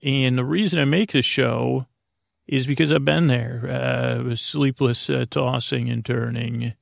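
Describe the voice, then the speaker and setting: A man hosting a bedtime podcast in a rambling monologue, halting and meandering as he stammers, droning along and interrupting himself. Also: fairly even intonation, vocal fry, no room sound, clean studio sound. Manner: halting, meandering, self-interrupting, often stammers over words; droning, low-stakes delivery. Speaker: podcast host.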